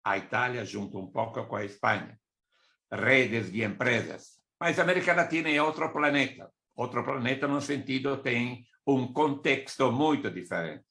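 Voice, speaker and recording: A man's voice: mid-range voice, moderate pace, pushed and bright. An older man from Italy with an Italian accent, a native Italian speaker explaining business matters in a didactic way as a consultant giving a presentation. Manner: didactic, with asides and listed examples. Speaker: export consultant giving a talk.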